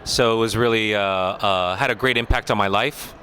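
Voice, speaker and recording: An American man, a film director, talking through a festival interview in a relaxed, thoughtful way with many pauses and fillers. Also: on the low side, slight background noise. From United States.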